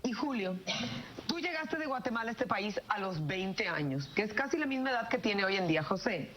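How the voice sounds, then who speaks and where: A woman's voice: medium pitch, animated, brisk, fairly bright tone. A woman addressing a guest as a television interviewer. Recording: dull played clip, faint hiss.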